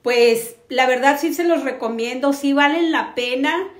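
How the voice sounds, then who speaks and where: A woman's voice: high voice, measured pace. A woman, chatting enthusiastically to camera as she hosts a casual home product review. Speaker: host of a home decor channel. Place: living room on a sofa, indoors. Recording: roomy echo.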